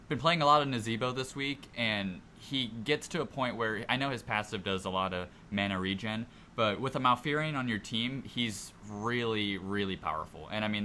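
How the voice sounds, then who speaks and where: A man's voice: lowish voice, bright, forceful tone. A man co-hosting a casual gaming podcast, explaining his picks in an informal, easygoing way. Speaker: podcast co-host. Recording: noisy amateur podcast recording.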